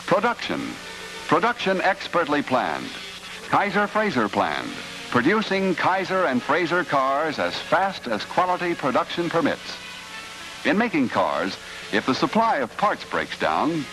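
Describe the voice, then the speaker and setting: A man's voice: fairly high pitch, rough recording. A man narrating a mid-century promotional film in a scripted announcer style. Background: music.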